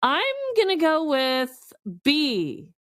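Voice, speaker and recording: Animated, high-voiced woman podcaster, slow-paced. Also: a little hiss or hum. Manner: playful, exclamatory. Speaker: podcast co-host, quiz contestant. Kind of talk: casual comedic chat.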